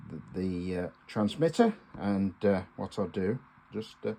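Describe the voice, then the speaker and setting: Guy with a lowish voice doing a casual hobby demo, kinda halting, lots of pauses. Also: sing-song, mellow. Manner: hesitant, halting delivery with pauses. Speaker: host, amateur radio hobbyist. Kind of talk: casual hands-on demonstration.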